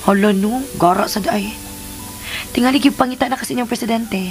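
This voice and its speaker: An actress playing a young, affectionate and proud pregnant wife in a radio drama. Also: female voice, a little high, brisk, soft background music, natural voice. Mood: affectionate, teasing.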